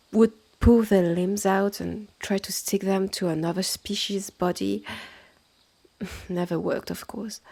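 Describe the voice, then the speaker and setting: Haughty mistress of the woods, played by a woman: French accent, slow and condescending, gravelly edge, roleplay audio. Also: medium pitch, darkish tone, heavily acted. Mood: scornful, amused. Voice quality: husky, breathy. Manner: slow, measured, condescending, with breathy drops to near-whisper. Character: haughty, territorial witch's sister running a secretive woodland hotel. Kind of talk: scripted roleplay audio drama.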